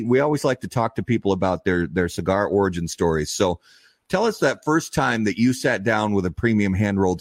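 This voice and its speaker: A man's voice: lowish voice, dry recording. A man hosting a cigar podcast from a studio, asking questions in an upbeat, friendly way. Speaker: podcast host. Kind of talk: casual podcast interview.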